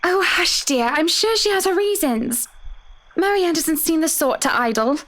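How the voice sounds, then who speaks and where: An actress voicing a gracious, doting married woman in a visual novel, solicitous and effusive, with a gently chiding manner. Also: extremely high pitch, expressive, moderate pace.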